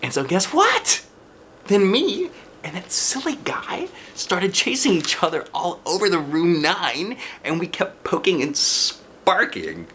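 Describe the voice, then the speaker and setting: An excitable little girl, acted out by a man, pitched up and bright. The delivery is emphatic and earnest, swinging widely in pitch, as in a storybook read-aloud. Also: slow pace, slight background noise, heavily acted. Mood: giddy, alarmed. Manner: childlike wording, emphatic and earnest. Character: excitable, stubborn, hopeful kindergarten girl narrator.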